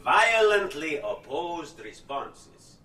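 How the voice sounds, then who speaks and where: An actor in a radio drama playing a grandiose doctor giving a theatrical, declamatory lecture. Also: male voice, fairly high pitch, big pitch swings, bright, forceful tone, heavily acted. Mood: portentous. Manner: declamatory, deliberate. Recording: echoey lecture room, distant, noisy.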